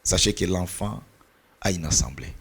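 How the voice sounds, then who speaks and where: Low gravelly man with a Portuguese-speaking background. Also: lively intonation, normal speed, a bit bright. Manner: earnest, preaching cadence, searches for words. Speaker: pastor and mentor. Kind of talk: church talk on mentoring.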